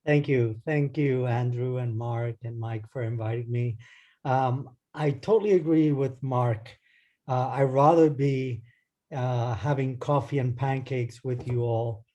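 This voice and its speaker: A man from Argentina giving an academic lecture over a video call, relaxed and hesitant, with many ums and restarts. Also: mid-range voice, measured pace, muffled recording. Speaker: guest lecturer. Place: home or office, speaking into a computer.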